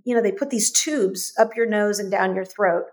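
A woman telling her life story as a guest, animated and repeating phrases for emphasis. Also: medium pitch, moderate pace. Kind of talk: conversational storytelling interview.

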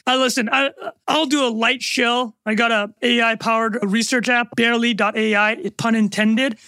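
A man with a Canadian accent, a podcast guest chatting casually, joking and rambling with quick asides. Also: very high-pitched, level tone, pushed and bright, clear, resonant tone, no room sound, studio quality.

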